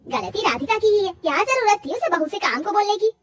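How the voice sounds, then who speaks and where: A woman's voice: very high voice, very animated, a little fast, pitched above the performer's own voice. A woman voicing a greedy, domineering older mother-in-law in a cartoon moral story, with a scolding, commanding manner.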